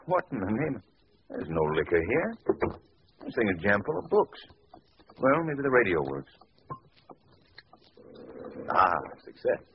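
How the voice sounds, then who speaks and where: An actor playing a bold gentleman adventurer in an old radio drama, talking in a brisk, demanding way. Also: fairly low pitch, big pitch swings. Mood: puzzled. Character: composed gentleman adventurer, man, adult, bold. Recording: dull old radio recording with hiss.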